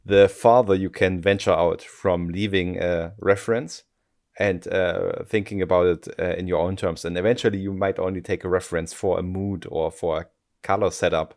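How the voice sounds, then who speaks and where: Deep-voiced German man, thoughtful. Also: fairly even intonation, moderate pace, vocal fry, a little mellow. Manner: thoughtful, self-deprecating, frequent restarts. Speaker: game developer guest. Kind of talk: casual podcast interview.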